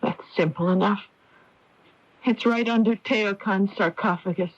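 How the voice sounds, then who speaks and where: An actress playing an earnest, fearful young heroine, dramatic and breathless, in an old radio drama. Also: female voice, mid-range voice, a little slow, natural voice. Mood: urgent, fearful. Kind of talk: 1980s radio drama acting and narration. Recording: dull lo-fi old radio broadcast.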